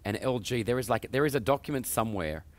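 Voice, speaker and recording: A man's voice: medium pitch, expressive, fast. A man hosting a promotional interview show, speaking as an emphatic presenter. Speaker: host interviewer.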